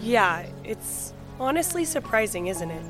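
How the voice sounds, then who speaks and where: A woman's voice: fairly high pitch, very animated, a little slow, over music. A woman playing a composed, hopeful survivor in an audio drama.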